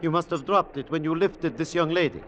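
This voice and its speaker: An actor playing a reserved, courteous doctor traveling in secret, formal in a 1940s radio manner. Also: male voice, fairly high pitch, fairly quick, lightly acted. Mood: reserved courtesy. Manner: formal, polite. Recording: muffled vintage radio.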